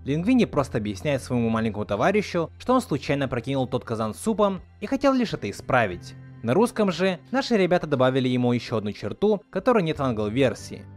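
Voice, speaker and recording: A man's voice: mid-range voice, sing-song, quick pace, hardly any pauses. A man narrating a scripted video essay as a host, with a commentator's delivery. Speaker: video host reviewing film dubbing. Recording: voice-over with music underneath. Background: background music.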